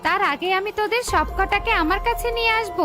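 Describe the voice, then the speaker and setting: A woman's voice: extremely high pitch, a little fast, music in the background, voice pushed up. A woman voicing a scheming, gloating wicked forest witch in a children's cartoon. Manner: gloating, menacing.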